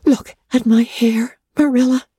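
A woman voicing a dramatic, imaginative orphan girl who speaks high, breathless and tearful, often dropping to a whisper. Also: fairly even intonation, a little slow, dark tone, no room sound, clean studio sound. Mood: despairing. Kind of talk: audiobook character reading.

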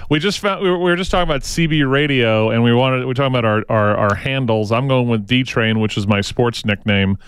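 Chatty radio host man, smooth voice. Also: mid-range voice, fairly quick, few pauses, dry recording. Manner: chatty, rambling, many fillers and restarts. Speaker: radio talk show host. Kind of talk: casual talk radio chat. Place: radio studio. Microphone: studio microphone.

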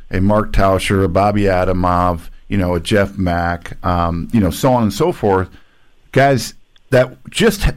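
An American man hosting a casual sports talk show, rambling in a halting, conversational way as a former player. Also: on the low side. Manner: halting, rambling, often says "you know".

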